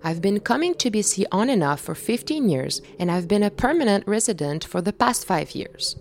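A woman in her thirties from Quebec, a native French speaker, narrating a documentary in a reflective, measured way. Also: medium pitch, sing-song, a little hiss or hum. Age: 30s. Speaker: documentary host. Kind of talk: scripted narration and interviewing.

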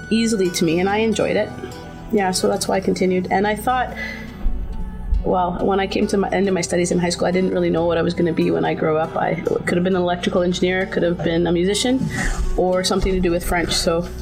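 A middle-aged woman whose first language is Finnish, giving thoughtful interview answers with plenty of fillers and restarts. Her voice sits fairly low and has a gravelly, creaky edge. Music plays clearly underneath her.

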